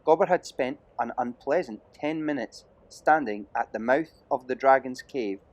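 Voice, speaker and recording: A man's voice: medium pitch, normal speed. A man narrating an adventure audiobook as a dramatic, theatrical storyteller with emphatic delivery.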